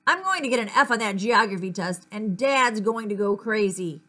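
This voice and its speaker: A woman's voice: medium pitch, lively intonation, moderate pace, pushed and bright. Woman voicing a fretful, silly schoolkid. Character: fretful schoolchildren's private, silly everyday worries.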